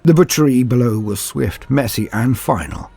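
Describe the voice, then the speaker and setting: A man with a gravelly, husky voice telling dark science fiction tales in a grave, theatrical storytelling style. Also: medium pitch, expressive, normal speed. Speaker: narrator. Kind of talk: dramatic scripted dark sci-fi storytelling. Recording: music bed under narration.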